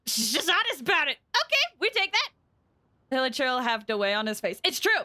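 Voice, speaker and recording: A woman streamer chats casually over a game in a high, bright voice with very animated intonation. Her reactions are exclamatory and gushing. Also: a little fast. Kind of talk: casual live game commentary. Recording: game music behind the voice.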